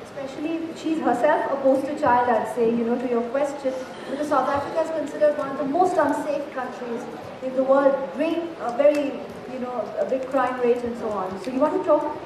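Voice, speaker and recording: A woman's voice: sits high, fairly quick, background noise. A woman moderating a festival panel, prompting a guest in a conversational way. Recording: echoey hall, long reverb, far from the mic.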